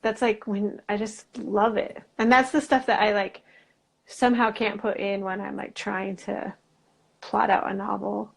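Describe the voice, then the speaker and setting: A woman's voice: medium pitch, little pitch movement, a little slow, vocal fry. Chatty American woman, rambling casually. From Utah, United States. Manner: chatty, rambling, uses "like" often. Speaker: guest, illustrator and writer. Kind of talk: casual chat.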